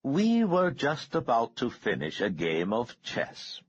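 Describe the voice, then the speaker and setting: Guy doing a slow oily billionaire, smooth and courtly, voice lifted higher than his own, bit husky. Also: wildly swooping pitch, dry recording, dull audio, exaggerated voice.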